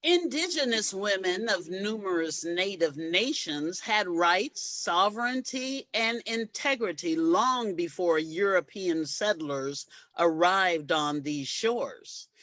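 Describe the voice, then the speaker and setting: A woman's voice: fairly low pitch, lively intonation, slow, few pauses, a bit bright, dry recording. A woman performing a dramatic recitation as a reader's theater performer.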